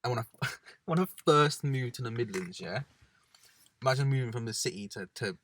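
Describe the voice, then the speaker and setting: A man from England co-hosting a casual chat in a parked car, animated and quick to imitate others. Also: mid-range voice. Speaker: podcast co-host.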